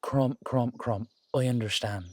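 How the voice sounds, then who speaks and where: A man plays an Irish dullahan rider in a roleplay audio drama, exasperated yet fond. He has a mid-pitched male voice and talks in slangy, coaxing, teasing banter. The sound is dry and close. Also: steady tone, unhurried, no echo, lightly acted. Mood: coaxing, reasoning. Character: exasperated, affectionate Irish dullahan rider talking to his horse.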